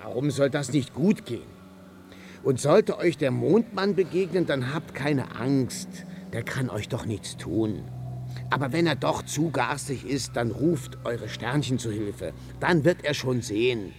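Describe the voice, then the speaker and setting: An actor playing a brisk, bossy but kindly sandman with a commanding, theatrical way of talking in a children's radio play. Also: mid-range voice, lively intonation, a little mellow, a little hiss or hum. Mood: instructing, reassuring.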